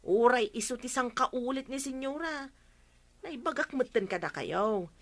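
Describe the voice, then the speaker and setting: A woman's voice: a little high, expressive, unhurried. A woman playing a cheeky, deferential housemaid who jokes with her employer. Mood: chatty. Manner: playful, deferential. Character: cheeky longtime female housemaid, joking. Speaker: maid.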